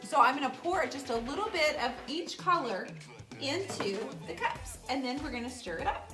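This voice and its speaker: A woman's voice: sits high, animated, normal speed, over music. A woman with an American accent hosts a children's craft show, explaining steps casually with playful banter. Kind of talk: casual step-by-step craft demonstration.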